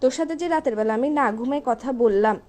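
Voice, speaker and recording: A woman's voice: sits high, fast talker, a little mellow. A young woman with a Bangladeshi accent, scolding and nagging indignantly as a girlfriend in a casual, comic phone argument. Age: young adult.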